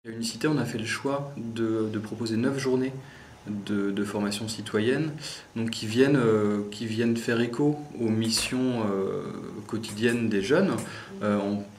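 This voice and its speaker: A man explaining his organisation's work in an interview, speaking in a careful, hesitant, measured way. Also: on the low side. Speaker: youth organisation representative. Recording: roomy echo, faint background noise.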